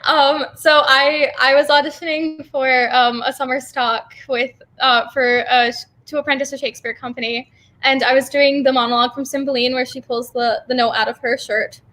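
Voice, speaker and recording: A woman guest chatting casually, with halting pauses as she explains things. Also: high-pitched, fairly even intonation, sharp tone. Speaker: guest from a theater company.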